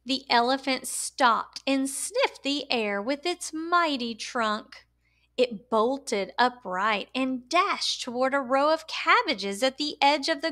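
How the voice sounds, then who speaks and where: Slow, high-pitched woman reading a storybook. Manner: animated, deliberate, emphatic storytelling delivery for young listeners. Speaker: storyteller reading a picture book aloud. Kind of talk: expressive children's read-aloud narration.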